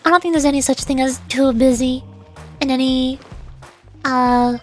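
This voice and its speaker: A woman's voice: sits high, fairly even intonation, moderate pace, darkish tone. A woman livestreaming a video game with casual, chatty commentary, thinking aloud as she plays. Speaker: VTuber game streamer. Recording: game music behind. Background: faint game music.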